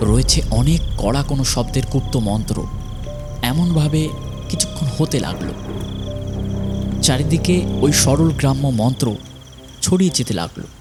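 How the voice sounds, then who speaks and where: Higher-voiced male horror story narrator.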